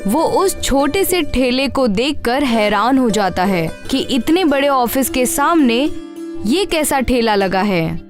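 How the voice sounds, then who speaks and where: Unhurried, higher-pitched woman storyteller. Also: big pitch swings, soft background music. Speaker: narrator. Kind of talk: scripted children's story narration.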